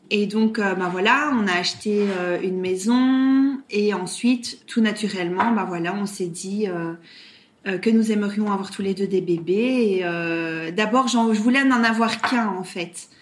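A woman in her thirties from Brussels with a Belgian French accent, talking as an interview guest, often pausing on "euh". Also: mid-range voice. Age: 30s. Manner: hesitant, many "euh" fillers. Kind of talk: casual interview talk.

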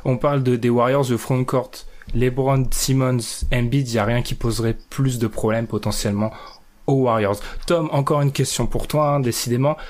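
A man's voice: medium pitch, fairly even intonation, normal speed, darkish tone. A man hosting a casual basketball chat, chatty and joking as he hands questions to the others. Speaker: podcast host.

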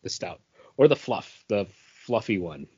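A man's voice: lowish voice, lively intonation, unhurried, mellow, breathy edge, highs cut off. A man chatting casually as a co-host on a podcast. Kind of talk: casual chat.

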